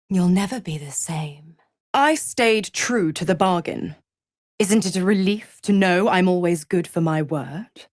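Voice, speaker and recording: Smug seductive devil woman for a game, slow n silky, very swoopy, mocking, kinda breathy. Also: medium pitch, no echo, clean studio sound. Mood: seductive, sly. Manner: theatrical, mocking, silky condescension with breathy drops into whispers. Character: seductive, scheming female devil who trades in pacts. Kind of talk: video game character acting.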